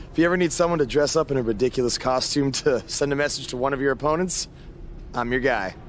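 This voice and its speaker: A man's voice: medium pitch, fast, slight background noise, lightly acted. A man playing a playful, easygoing champion wrestler in a video game cutscene. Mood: playful, grateful.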